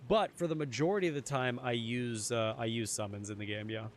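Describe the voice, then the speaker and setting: A young man streaming a game, chatting casually with his viewers, his voice a little hoarse. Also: medium pitch, fairly quick, slight background noise. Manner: chatty, answers chat, repeats phrases. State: slightly hoarse. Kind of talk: casual live-stream chat while gaming.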